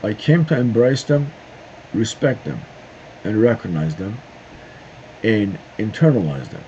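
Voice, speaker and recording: A middle-aged man speaks in a low, soft-edged voice, addressing his viewers in a halting, searching way full of "um" and "uh". The recording is dull and lo-fi. A faint hiss sits underneath. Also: lively intonation. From Turkey. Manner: halting, searching for words with frequent "uh" and "um" pauses. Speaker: video host. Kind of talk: casual opinion monologue to camera. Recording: dull lo-fi sound with faint noise.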